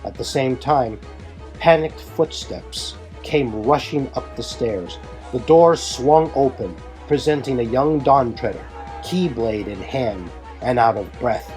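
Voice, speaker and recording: A man's voice: medium pitch, expressive, slow, darkish tone. A man delivering scripted, dramatic narration for an audio drama. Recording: music bed behind the voice.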